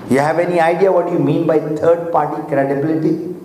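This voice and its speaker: A man's voice: a little high, fairly even intonation, brisk, mellow, slight background noise. A man whose first language is Urdu or Hindi, giving a motivational talk to an audience, earnest and coaxing, asking them questions. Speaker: motivational speaker. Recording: echoey hall recording.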